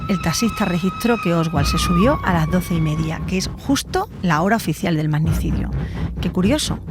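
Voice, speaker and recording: Woman from castile style telling a true crime podcast story, music going behind. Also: on the low side. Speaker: journalist, podcast narrator. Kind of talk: scripted documentary storytelling.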